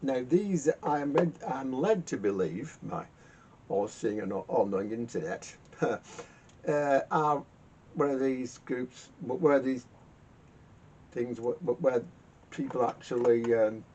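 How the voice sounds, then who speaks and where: A man giving casual hands-on repair commentary in a halting way, muttering to himself and repeating words. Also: animated, darkish tone. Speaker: vintage radio repairer hosting a workshop video. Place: garage workshop. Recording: muffled lo-fi sound with rumbling noise.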